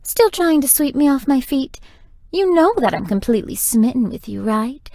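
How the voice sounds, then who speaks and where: A woman's voice: high voice, animated, normal speed, mellow, dry recording, clean studio sound. A woman voicing a flirtatious, playful, theatrical vampire in a video game, teasing and melodramatic. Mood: flirty. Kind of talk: scripted video game character dialogue.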